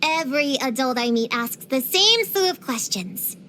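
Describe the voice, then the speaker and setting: High, animated, precocious little girl. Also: normal speed, a little hiss or hum. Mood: earnest, chatty. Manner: earnest, theatrical, uses grown-up vocabulary. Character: precocious, feisty, dramatic little girl witch hunter. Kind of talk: scripted video game character voice lines.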